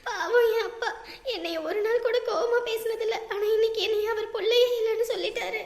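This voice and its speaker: A woman's voice: extremely high pitch, expressive, racing. A woman playing a guilt-ridden, resentful young runaway bride in a family drama, reproachful. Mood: tearful guilt. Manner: tearful, reproachful. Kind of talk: amateur village family drama acting. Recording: echoey room sound.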